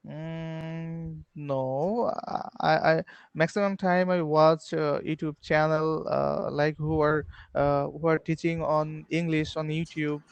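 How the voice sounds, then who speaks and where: A man's voice: a little high, fairly even intonation, slow pace, vocal fry, clean tone, dry recording. A man in his twenties with a Bangladeshi accent, practising English hesitantly in simple phrases on a phone video call. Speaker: English learner. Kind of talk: casual practice conversation.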